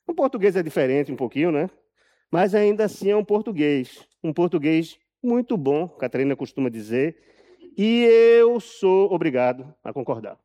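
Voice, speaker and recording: Higher-pitched Brazilian man giving a talk. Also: sing-song, mellow. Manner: deliberate, emphatic, repeats phrases for effect. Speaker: journalist and author speaking at an event. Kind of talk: live public talk, storytelling from a prepared text.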